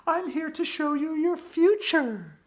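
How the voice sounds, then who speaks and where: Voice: high voice, lively intonation, slow, pitched above the performer's own voice. A reader voicing the spooky, cackling ghost of a young girl in a storybook read-aloud, drawing out her taunting words.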